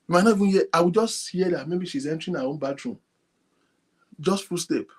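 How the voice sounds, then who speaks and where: Man, breathy voice, soft-spoken, casual talk to an audience. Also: a little high, fairly quick. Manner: speaks softly, animated, addresses viewers directly. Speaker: online show host. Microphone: phone linked to a computer.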